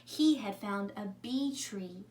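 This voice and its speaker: A woman's voice: mid-range voice, expressive, slow pace, darkish tone. A woman teacher reading a story aloud to young children, using simple wording and putting questions to her listeners. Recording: echoey room, distant mic, faint noise.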